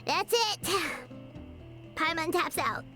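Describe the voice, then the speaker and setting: A woman's voice: very high voice, expressive, slow pace, sharp tone, exaggerated voice. A woman voicing a tiny, chatty female fairy companion who talks about herself in the third person. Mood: whiny. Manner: third-person self-talk, bubbly. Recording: game audio with background music.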